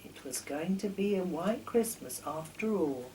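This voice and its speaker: An older woman reading a children's story aloud in an expressive, deliberate storytelling manner. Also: on the low side, slight background noise, low-quality recording. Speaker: author reading her own children's stories. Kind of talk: storybook narration.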